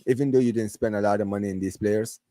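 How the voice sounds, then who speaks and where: A man's voice: fairly low pitch, fairly even intonation, quick pace, dark tone, no room sound, clean studio sound. A man in a live online football debate who keeps cutting in and repeating himself to land his point. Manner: keeps interrupting and repeating himself to hold the floor.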